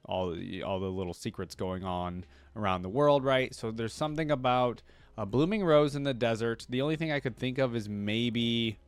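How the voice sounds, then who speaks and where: A man hosting a reaction video, chatting casually and thinking out loud with frequent restarts. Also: medium pitch, moderate pace, clean tone, no echo. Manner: rambling, thinks aloud with restarts.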